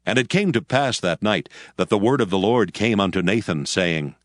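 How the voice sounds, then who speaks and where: A man narrates scripture from a script in a fairly low voice. The sound is dry and close, as in a studio. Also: lively intonation, moderate pace, no echo.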